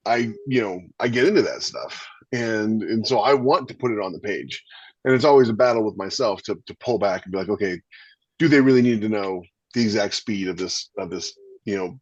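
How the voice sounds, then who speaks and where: A man co-hosting a podcast in casual talk, halting and repeating words as his thoughts build, on slightly lo-fi audio. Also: on the low side, husky, no echo. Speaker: podcast co-host. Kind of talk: casual panel discussion. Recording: dull, slightly lo-fi dry audio.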